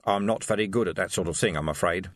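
A man's voice: deep voice, quick pace, no room sound, studio quality, voice pushed down, close to an everyday voice. A man voicing a timid, henpecked husband who murmurs and hesitates, in a storybook reading.

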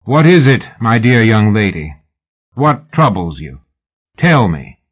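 A man's voice: medium pitch, expressive, moderate pace, pitched above the performer's own voice, natural voice. Male narrator as old war hero general, pompous, boastful, oratorical storybook speech, slightly lo-fi. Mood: stern concern.

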